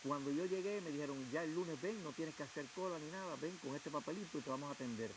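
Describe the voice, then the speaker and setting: A man's voice: fairly high pitch, quick pace. A man with a Cuban Spanish accent, a guest giving emotional personal testimony in a television interview. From Cuba. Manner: emotional storytelling, acting out quoted officials. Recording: hissy TV broadcast audio.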